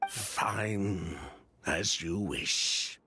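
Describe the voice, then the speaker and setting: A man playing a weary ex-convict perfume merchant in a video game, speaking deliberately, with reluctance and a bitter edge. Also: fairly low pitch, sharp tone, slight background noise, exaggerated voice. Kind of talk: scripted video game dialogue.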